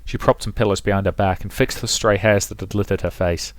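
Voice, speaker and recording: A man's voice: lowish voice, fairly even intonation, brisk, dry recording. A man hosting a let's play, reading a visual novel as dramatic, rhythmic narration with some commentary. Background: music.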